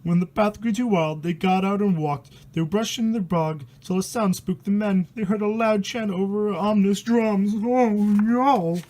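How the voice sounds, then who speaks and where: A man's voice: high voice, measured pace, few pauses, darkish tone. A man reading a storybook aloud in a dramatic way, mixing the narration with casual, crude, mock-horrified commentary.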